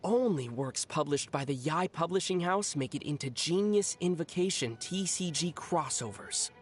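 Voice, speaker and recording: An actor voicing a calm, teasing young adventurer in a video game, with a dry, knowing manner. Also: mid-range voice, normal speed, over music, lightly acted. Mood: dryly ominous. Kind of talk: video game character voice acting.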